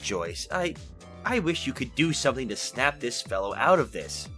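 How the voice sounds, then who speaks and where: An actor playing an anxious, morbidly argumentative man in a 1940s-style radio drama, speaking in a halting, rhetorical, theatrical way. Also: medium pitch, big pitch swings, pushed and bright, soft background music. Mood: agitated, pleading.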